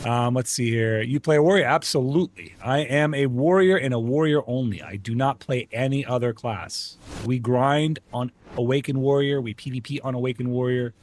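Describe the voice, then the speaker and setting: A man's voice: mid-range voice, normal speed, few pauses, darkish tone, slight background noise. A man streaming an online game live, chatting casually and greeting viewers by name in a friendly way. He grew up speaking Arabic at home. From United States. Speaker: livestream gamer host.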